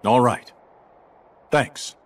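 A man playing a dutiful army captain in a video game, commanding and clipped. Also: very slow. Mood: businesslike. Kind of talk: scripted video game voice acting.

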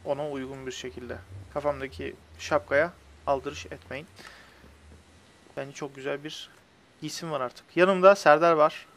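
A young man hosts a casual gaming session. His voice sits a little high for a man. He chats with friends as he explains what he is doing in the game. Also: steady tone, measured pace, vocal fry, fairly bright tone. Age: young adult. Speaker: host of a gaming video channel. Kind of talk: casual let's-play commentary with friends. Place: indoors at a computer, webcam shown.

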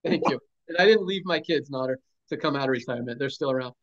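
A man's voice: mid-range voice, quick pace, highs cut off. A man in his forties hosting a team video call, rallying people with repeated hype phrases. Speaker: host of a sales team call. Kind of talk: energetic motivational call. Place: home room, video call. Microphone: webcam on a video call.

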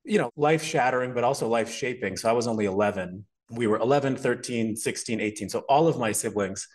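A man in his forties from Montreal, a fitness expert guesting on a podcast, telling his life story in an animated, chatty way. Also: medium pitch, a little fast. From Montreal, Canada. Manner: animated, storytelling, laughs often. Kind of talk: casual interview chat.

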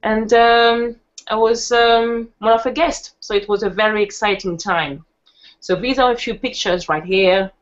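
A woman with a French accent giving a casual online presentation, chatty with lots of fillers and restarts. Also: medium pitch. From France. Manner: chatty, laughs easily, many fillers and restarts. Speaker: webinar presenter. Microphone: video call. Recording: muffled online call audio.

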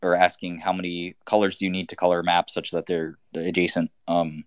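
A man's voice: sits low, little pitch movement, fairly quick, no echo, studio quality. A man from Albania hosting a live audio chat, talking loosely with many fillers and restarts. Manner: rambling, lots of fillers and restarts. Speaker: host.

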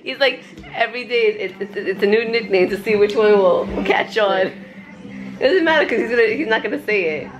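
A young woman talks playfully into a handheld camera in a home living room, teasing and dropping in Spanish words. Her voice sits at an everyday middle pitch, with music playing underneath. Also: fairly quick, poor audio. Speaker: vlogger. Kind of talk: casual family chat to camera. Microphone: handheld camera close to face.

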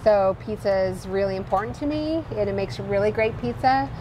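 A woman chef demonstrating a recipe at an outdoor kitchen, explaining each step in a relaxed way with a few fillers. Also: medium pitch, fairly quick. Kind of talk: cooking demonstration. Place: outdoor kitchen showroom patio. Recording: noisy outdoor recording.

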